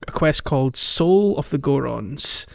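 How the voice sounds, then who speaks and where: A man's voice: medium pitch, expressive, unhurried, creaky, muffled recording. A man hosting a casual gaming podcast, chatting in a jokey way and prone to chuckling. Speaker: podcast host.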